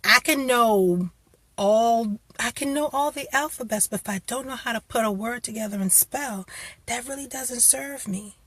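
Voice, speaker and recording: A woman's voice: fairly high pitch, moderate pace, fairly bright tone, breathy edge, no echo. Encouraging woman giving career advice. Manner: encouraging, conversational, with many restarts. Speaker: career coach host. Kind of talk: casual motivational talk to camera.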